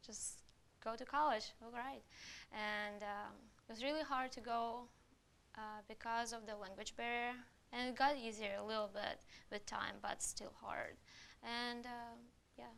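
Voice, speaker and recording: A woman's voice: on the high side, gravelly, fairly bright tone. A young woman from Russia with a Russian accent, a student guest answering interview questions in a hesitant, unpolished way. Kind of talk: studio interview.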